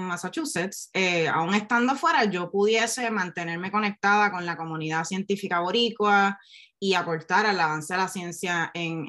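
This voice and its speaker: A woman in her forties with a Puerto Rican accent talks at an easy, even pitch. She reflects as she goes, pausing on fillers and correcting herself midway, in a relaxed conversational interview. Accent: Puerto Rican Spanish. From Puerto Rico. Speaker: guest, neurobiologist and science communicator.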